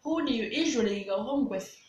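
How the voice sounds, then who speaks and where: A woman's voice: on the high side, expressive, a little fast, darkish tone, roomy sound, slight background noise. A woman in her twenties with a Vietnamese accent, practising scripted English dialogue as a student, hesitant with many fillers and restarts. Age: 20s.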